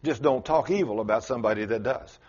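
A man's voice: quick pace, darkish tone, breathy edge, muffled recording. A man with a South Texas accent preaching a sermon in a folksy, emphatic way. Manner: folksy, emphatic, swings pitch up for stress.

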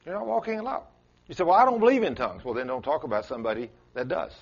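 A man's voice: very animated, very fast, husky, lo-fi sound. A man with a South Texas accent preaching a sermon in a folksy, emphatic way. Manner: folksy, emphatic, swings pitch up for stress.

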